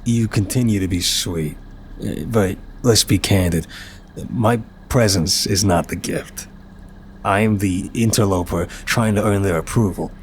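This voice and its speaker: Low-voiced, charming, nervous mafia boss played by a man. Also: unhurried, slight background noise. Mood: earnest, playful.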